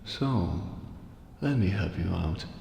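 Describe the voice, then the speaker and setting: A man's voice: deep voice, animated, takes it slowly, vocal fry, mellow, voice pushed down, full character voice. A man voicing a bitter, crestfallen undead merchant from a fantasy game, sardonic and grumbling in manner. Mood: bitter, despairing. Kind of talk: fan voice acting of game dialogue. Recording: echoey long reverb, faint noise.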